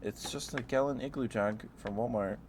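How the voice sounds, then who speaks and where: A man's voice: mid-range voice, normal speed, noisy background. A man streaming ASMR, chatting casually and playfully to his live viewers in a rambling way.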